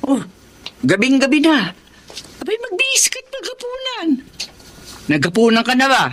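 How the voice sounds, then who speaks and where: A woman's voice: sits high, huge pitch swings, unhurried, a bit bright, a little hiss or hum, exaggerated voice. A woman with a Filipino accent playing a caring, fretful older mother in a radio drama, fussing and soothing. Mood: concerned, gentle.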